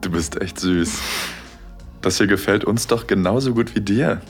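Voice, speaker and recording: Guy acting a deep soft-spoken husband, tender n teasing, gently in charge, audio drama w faint music. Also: normal speed, natural voice. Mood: affectionate teasing. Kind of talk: intimate audio drama dialogue.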